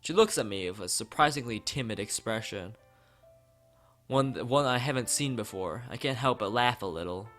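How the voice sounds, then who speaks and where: Guy from the US reading out a visual novel on stream, middling pitch, bit of music. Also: expressive, moderate pace, fairly bright tone. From United States. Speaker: let's play streamer.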